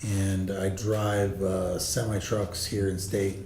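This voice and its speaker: A man's voice: sits low, flat delivery, slow pace, gravelly, a little mellow. A man in his thirties who drives trucks, introducing himself in a brief, matter-of-fact way. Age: 30s. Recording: echoey hollow room with background noise.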